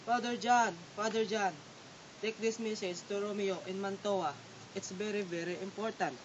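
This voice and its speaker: A woman playing a calm, scheming priest who explains plans steadily, in an amateur stage reading. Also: medium pitch, normal speed, close to an everyday voice. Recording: echoey hall, far off, dull, noisy.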